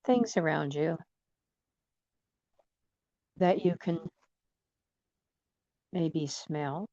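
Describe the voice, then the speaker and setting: A woman leading guided imagery in a soothing, gentle manner, leaving long pauses between phrases. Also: low voice, no room sound, muffled recording. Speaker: guided meditation leader.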